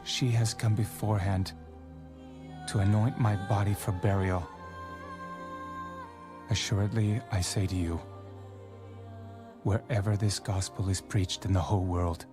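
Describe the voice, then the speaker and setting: An actor playing a solemn, authoritative male teacher and prophet in a dramatized audio Bible, speaking in measured, grave declarations. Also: deep voice. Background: background music.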